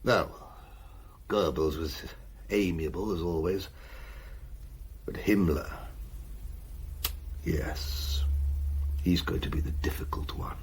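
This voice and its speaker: An actor in a radio drama playing a weary, cynical German admiral and spymaster who talks in a sardonic, confiding way. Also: male voice, sits low, slow, long pauses, a little hiss or hum.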